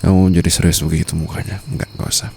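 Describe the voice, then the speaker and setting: Man playing a low, gravelly, tender mafia boss. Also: little pitch movement, very fast, warm tone. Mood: apologetic, tender. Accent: Indonesian. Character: smitten young mafia boss, protective, teasing, tenderly possessive. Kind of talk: intimate ASMR boyfriend roleplay. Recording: faint night-time insect ambience noise.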